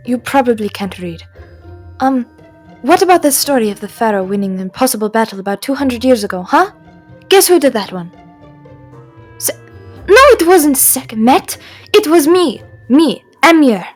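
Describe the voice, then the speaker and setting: A woman voicing a sassy, spiteful and insecure male god of wishes in a fantasy audio roleplay, theatrical, indignant and whiny. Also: on the high side.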